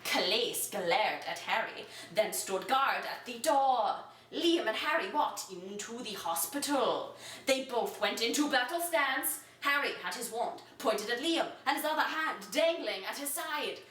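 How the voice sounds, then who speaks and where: High-voiced woman reading in an echoey room. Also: animated, measured pace, fairly bright tone. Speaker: reader of an amateur story. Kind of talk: mock-dramatic storytelling, reading aloud. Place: echoey room, away from the mic. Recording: roomy echo, distant from microphone.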